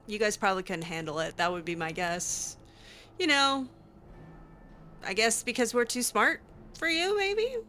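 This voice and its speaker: A woman's voice: mid-range voice, animated, a little slow, pauses often, pushed and bright, a little hiss or hum. A woman voicing a cheeky, skeptical female mage in casual, teasing improvised roleplay of a fantasy game. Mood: amused, teasing.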